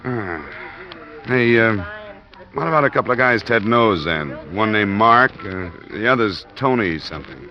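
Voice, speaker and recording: An actor playing a cool, dogged insurance investigator in a 1950s radio drama, with an understated, matter-of-fact delivery. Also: male voice, fairly low pitch, animated, a little slow. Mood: casual, probing. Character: cool, dogged male freelance insurance investigator narrating his case. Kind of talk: 1950s radio detective acting and first-person narration. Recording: dull vintage radio recording with music.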